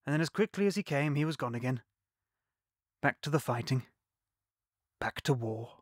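A man's voice: mid-range voice, animated, normal speed, no echo, clean studio sound, natural voice. An English actor playing a man recalling his father and his childhood, in a reflective radio play monologue. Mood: wistful.

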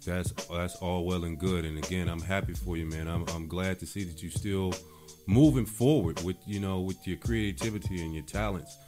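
Low-voiced man hosting over music. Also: normal speed. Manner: supportive, affirming, colloquial. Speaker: radio host interviewer. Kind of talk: casual radio interview. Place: small radio studio. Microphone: studio microphone with pop filter.

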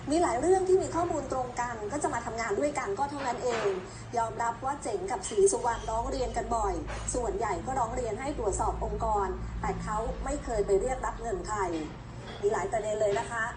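A woman's voice: a little high, quick pace. A woman presenting news in a conversational reporting style. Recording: roomy echo, clear background noise.

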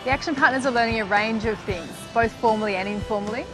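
Measured woman explaining a youth program. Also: fairly high pitch, over music, poor audio. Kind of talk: interview commentary. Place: outdoors among greenery.

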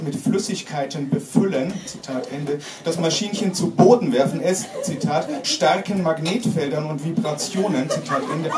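A man in his forties from Germany reading his comic essay live with deadpan, theatrical mock gravity. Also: on the high side. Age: 40s. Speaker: author reading his own satirical text. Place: small pub-like room with audience, indoors. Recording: roomy, distant mic, clearly noisy background.

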